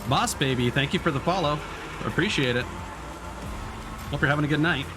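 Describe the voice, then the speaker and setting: A man's voice: on the high side, fairly quick. A man giving casual livestream gaming commentary, chatting as a streamer and swearing casually. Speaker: game streamer. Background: game music and racing car sounds.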